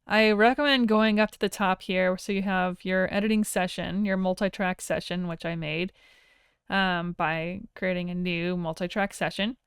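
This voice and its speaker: A woman instructor narrating a software tutorial in a casual, informal way with wry asides, recorded as a voice-over. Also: on the low side, steady tone, moderate pace, smooth, no room sound, studio quality. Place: close, dry voice-over for a screen recording.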